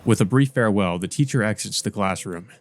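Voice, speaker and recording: A man's voice: on the low side, fairly quick. A man hosting a let's play, reading a visual novel aloud with casual asides. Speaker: let's play host and reader.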